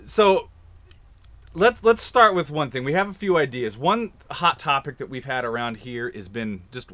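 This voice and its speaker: A man's voice: medium pitch, lively intonation, normal speed, a bit bright, no echo. An upbeat, joking man from Kansas hosting a casual chat in a recording studio. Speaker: host.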